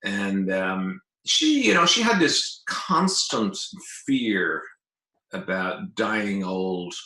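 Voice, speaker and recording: A man's voice: on the low side, sing-song, extremely slow, sharp tone. A man in his fifties with an Irish accent, telling his personal story as an interview guest in a conversational way. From Ireland. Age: 50s. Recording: roomy, echoing sound.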